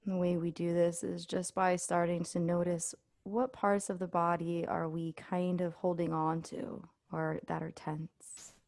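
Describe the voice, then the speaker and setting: A woman leading a guided body scan meditation as an instructor, speaking in a soothing, unhurried way with pauses. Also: fairly low pitch, little pitch movement, gravelly, darkish tone, no echo, studio quality.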